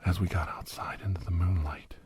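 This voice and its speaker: A man playing a grim doctor recounting a bloody werewolf rescue in a hushed, breathy, halting ASMR storytelling style. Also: low voice, steady tone, dry recording, clean studio sound. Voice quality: breathy, husky near-whisper. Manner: hushed, halting, dramatic delivery. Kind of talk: whispered ASMR horror storytelling.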